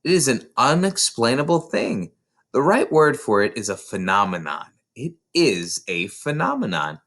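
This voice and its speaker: A man voicing a patient, careful woman schoolteacher in a storybook, with a measured, explanatory, cautioning manner. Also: mid-range voice, big pitch swings, fairly bright tone. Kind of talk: children's storybook read aloud.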